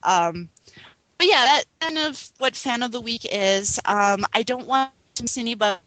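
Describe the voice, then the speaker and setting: Casting: woman podcast co-host, somewhat high voice, earnest and halting, dry close sound. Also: animated, bright, forceful tone, no room sound, rough recording. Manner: earnest, halting, frequent ums. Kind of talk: casual chat.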